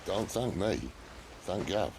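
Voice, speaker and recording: A gruff, middle-aged British outlaw leader in a game cutscene. His voice is extremely low and gravelly, with lively swings in delivery. He is blunt and sardonic, heard over clear background noise. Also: takes it slowly. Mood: wry. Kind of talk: video game cutscene acting.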